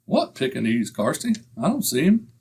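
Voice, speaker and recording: An actor playing a boastful, wisecracking husband in a 1940s-style radio comedy. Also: male voice, mid-range voice, huge pitch swings, normal speed, a little mellow, breathy edge, full character voice. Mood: brash. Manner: brash, wisecracking. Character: boastful, blustering middle-aged husband.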